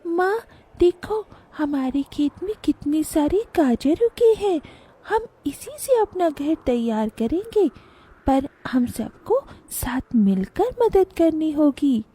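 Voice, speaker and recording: Woman as a high-pitched, earnest little girl. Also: very animated, slow, dark tone, heavily acted. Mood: eager. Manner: childlike, earnest. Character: bright, earnest little village girl, eager to help. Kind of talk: children's cartoon story acting.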